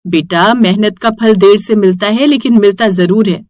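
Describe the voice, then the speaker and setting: A woman voicing a kind, hardworking mother who runs a food stall in an animated moral story, caring and reassuring. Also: medium pitch, moderate pace, slight background noise, lightly acted.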